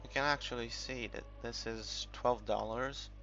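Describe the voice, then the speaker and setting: Slow, gravelly man over muffled game commentary. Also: medium pitch, fairly even intonation, sharp tone. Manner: hesitant, rambling, thinking aloud. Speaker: gamer making a game tutorial. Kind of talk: casual unscripted game walkthrough commentary. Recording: muffled, dull recording with background music.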